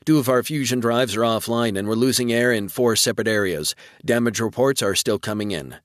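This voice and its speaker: A man's voice: medium pitch, brisk, no echo, pitched above the performer's own voice, natural voice. A man reading as a warship damage control officer in a sci-fi audiobook. The reporting is urgent and matter-of-fact, in a dry recording.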